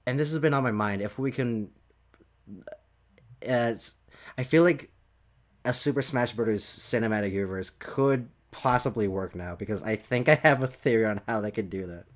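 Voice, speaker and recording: Guy hosting a casual gaming podcast, lowish voice, kinda hesitant and repeats himself. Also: normal speed, pauses often. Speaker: podcast host. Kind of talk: casual podcast chat about games.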